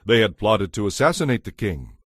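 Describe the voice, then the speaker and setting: A man serves as narrator of a dramatized audio Bible. His voice is on the low side and the sound is dry and close, with measured, scripted storytelling. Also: big pitch swings, a bit bright, breathy edge, no room sound.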